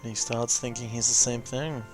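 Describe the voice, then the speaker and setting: A man with a creaky voice giving casual, rambling, hesitant reaction commentary as host of a watch-along. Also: medium pitch, level tone, moderate pace, music in the background, low-quality recording.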